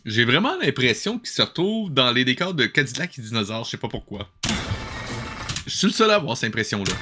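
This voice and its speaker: A man's voice: medium pitch, big pitch swings, brisk, loud music behind the voice. A man, giving casual sarcastic commentary as he watches a cartoon. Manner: wry, joking asides. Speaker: host reacting to a cartoon.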